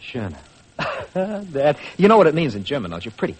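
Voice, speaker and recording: Animated, pushy Hollywood publicity man. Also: a little high, moderate pace, husky. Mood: enthusiastic, persuading. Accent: American. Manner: wheedling, salesman-like, insistent. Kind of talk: 1950s American radio drama acting. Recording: noisy vintage 1950s radio recording.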